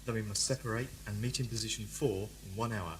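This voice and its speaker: A man's voice: fairly low pitch, fairly even intonation, fast, lightly acted. A man playing a defiant condemned father plotting a prison escape in an amateur audio drama, speaking in a solemn, formal, declamatory way. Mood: conspiratorial. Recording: noisy hum, old cassette fan production.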